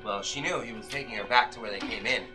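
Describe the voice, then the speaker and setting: Suspenseful male narrator over loud music. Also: medium pitch. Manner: suspenseful, deliberate phrasing. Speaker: author reading his own novel. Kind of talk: dramatic narration, old-time radio play. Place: magazine-lined shop, indoors, small audience. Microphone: camera in the audience, some distance away. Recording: live shop performance under loud music. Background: live violin and keyboard music.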